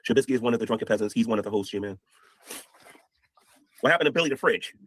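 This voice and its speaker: Older man, head cold, husky voice, very fast talker, preachy, dry close sound. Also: fairly low pitch, expressive, a bit bright. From United States. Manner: preachy, quotes scripture. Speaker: streamer. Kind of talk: casual livestream talk.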